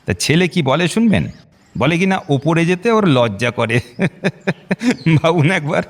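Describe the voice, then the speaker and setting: Animated middle-aged father, reverberant. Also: fairly high pitch, slow pace, heavily acted. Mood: jovial teasing. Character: genial middle-aged father, chatty, courteous, burdened. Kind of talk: audio drama acting. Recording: reverberant hall, light music bed.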